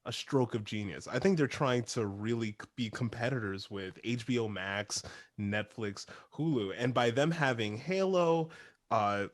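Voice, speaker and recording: A man's voice: mid-range voice, a little slow, dry recording, clean studio sound. A man on a podcast panel, giving emphatic opinions in a casual, chatty way.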